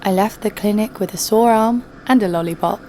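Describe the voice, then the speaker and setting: A woman's voice: medium pitch, a little fast, a little mellow, slight background noise, natural voice. A woman playing a tough, sardonic young bounty hunter who narrates an audio drama in a wry, world-weary way. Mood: wry narration. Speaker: narrator and lead.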